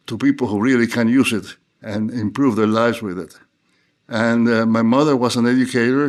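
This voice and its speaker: An older man from Cuba, a theologian guest, talking in a measured, reflective way with frequent restarts. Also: mid-range voice. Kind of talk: unscripted interview talk.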